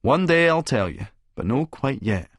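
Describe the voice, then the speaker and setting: A man's voice: mid-range voice, very animated, normal speed, no room sound, clean studio sound, pitched above the performer's own voice. A man voicing a cocky, scheming, drug-fuelled Scottish wide boy with sly, cheeky patter in an audiobook. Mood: cold, sly.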